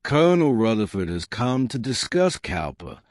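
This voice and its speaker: A man's voice: medium pitch, sing-song, normal speed, no echo, clean studio sound, lightly acted. A man voicing a fussy, eager matchmaking mother in an audiobook. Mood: faint dismay. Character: excitable, fussy matchmaking mother of grown daughters. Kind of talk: audiobook character dialogue.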